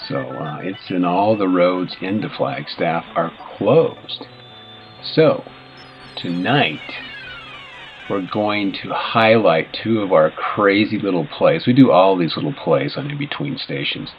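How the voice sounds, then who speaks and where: A man's voice: low voice, expressive, slow pace, faint music behind. A man hosting a live radio show in a casual, rambling, jokey way, with hesitations and frequent chuckles. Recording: dull lo-fi radio sound, clear background noise.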